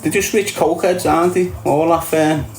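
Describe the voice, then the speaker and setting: A man's voice: fairly high pitch, steady tone, moderate pace, reverberant, faint music behind, poor audio. A man with a Scouse accent ranting in a casual monologue, mocking and crude, laughing at his own jokes. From Liverpool, England. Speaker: online streamer.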